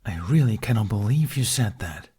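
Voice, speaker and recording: Male reader as male dragon, dry-witted, deadpan, exasperated, argumentative, audiobook storytelling. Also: lowish voice, normal speed, husky.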